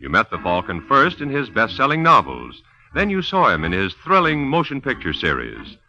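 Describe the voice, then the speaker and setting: A man's voice: mid-range voice, wildly swooping pitch, moderate pace, bright, forceful tone. A man announcing and narrating in polished 1950s radio style, as salesman and storyteller. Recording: muffled 1950s radio recording.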